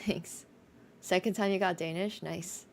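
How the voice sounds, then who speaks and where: A woman's voice: lowish voice, fairly even intonation, gravelly, slight background noise. A young woman from Finland with a light American accent, Mandarin her first language, chatting casually with her stream viewers in a slightly nasal voice. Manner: chatty, quick asides, laughs often. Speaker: live streamer.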